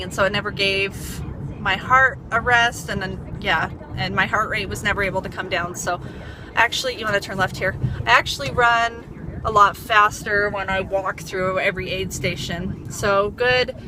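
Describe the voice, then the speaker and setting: Chatty woman vlogging over noise. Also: mid-range voice. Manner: chatty and rambling, with many fillers. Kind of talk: casual chat to camera. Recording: noisy background hum.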